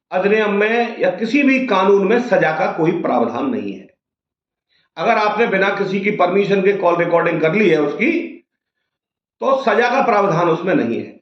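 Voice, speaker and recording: High-pitched man explaining law. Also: expressive, measured pace. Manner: didactic, poses questions and answers them. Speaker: advocate explaining law to viewers. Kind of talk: informal legal explainer addressed to camera. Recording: dull, roomy, echoing sound.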